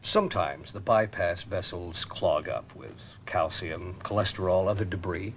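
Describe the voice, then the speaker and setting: A man's voice: deep voice, unhurried. A man playing a matter-of-fact, authoritative heart specialist in a medical dramatization. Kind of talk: acted scene in a medical dramatization. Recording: noisy room ambience.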